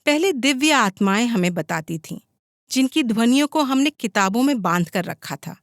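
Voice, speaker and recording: Lady reading an audiobook, kinda high voice, scripted narration, no room echo at all. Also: expressive, normal speed, studio quality.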